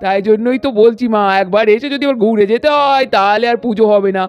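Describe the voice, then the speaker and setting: A man's voice: extremely high pitch, quick pace, clear, resonant tone. A man voicing a fretful, nagging elderly grandparent in a children's doll-family cartoon. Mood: worried grumbling. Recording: dull lo-fi sound, faint music behind.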